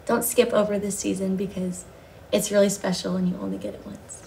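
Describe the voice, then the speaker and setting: A woman's voice: mid-range voice, sing-song, fairly quick, mellow, slight background noise, poor audio. A young woman worship singer chatting as a guest, upbeat and laughing often, with lots of "like". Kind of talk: casual interview chat. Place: bright living-room studio set.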